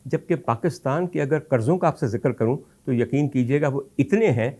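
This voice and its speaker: A man's voice: medium pitch, moderate pace, warm tone. Pakistani man, theatrical talk show host. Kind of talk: monologue commentary addressed to audience, mixing Urdu and English.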